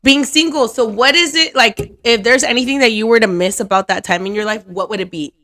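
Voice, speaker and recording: Woman, 30s, podcast host, casual chat, blunt and profane, bright tone. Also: a little high, a little fast. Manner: blunt, swears freely, laughs often.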